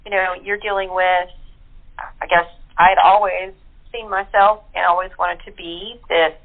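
A woman's voice: lowish voice, fairly even intonation, a little slow. Woman on a tinny phone line. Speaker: voice actor in an audio theatre group. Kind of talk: casual chat. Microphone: phone or internet call.

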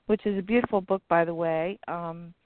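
A woman hosting an internet radio show in casual chat, sounding stuffy and raspy, with many ums and uhs. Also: on the low side, steady tone, normal speed, no room sound. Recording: tinny phone-line sound.

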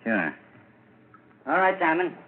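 An actor playing an easygoing, plain-spoken police constable in a 1940s radio drama, folksy and matter-of-fact. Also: male voice, a little high, sing-song, slow pace. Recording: crackly, narrow-band 1940s radio broadcast.

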